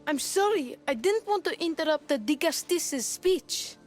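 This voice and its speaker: A woman's voice: sits high, expressive, unhurried, faint music behind. An actress playing a small, polite, earnest and worried young boy in a video game. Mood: shy, admiring. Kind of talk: dramatic video game acting.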